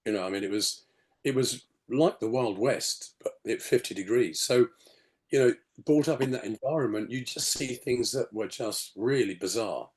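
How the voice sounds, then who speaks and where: A man in his sixties with a gravelly, slightly hoarse voice, rambling through his story on a video call with many "you know"s. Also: medium pitch, normal speed, darkish tone. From England. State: hoarse after a detox. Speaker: podcast guest. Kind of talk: casual storytelling interview.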